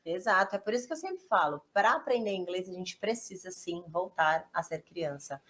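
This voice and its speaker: A woman's voice: on the low side, expressive, moderate pace, a bit bright. Encouraging Brazilian woman teacher. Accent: Brazilian Portuguese. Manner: encouraging, explains step by step. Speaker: English teacher. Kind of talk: casual teaching chat.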